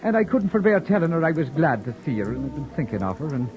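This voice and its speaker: A man acting a proud, sharp-tongued young wanderer who narrates his own tale in a 1940s radio drama, with a wry, sardonic telling. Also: a little high, sing-song, fast talker, highs cut off. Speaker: narrator and lead character. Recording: old radio broadcast with faint music.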